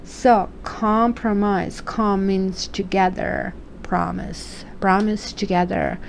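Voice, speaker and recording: A woman's voice: medium pitch, flat delivery, slow, vocal fry, a little hiss or hum. A woman from Croatia with a Croatian accent, reading tarot cards in a chatty, musing way and asking questions aloud. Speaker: tarot reader.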